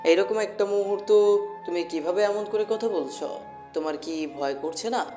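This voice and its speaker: A man's voice: high-pitched, normal speed, dark tone, soft background music, lightly acted. Anxious young male captive played by an actor. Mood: fearful, sad. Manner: worried, questioning.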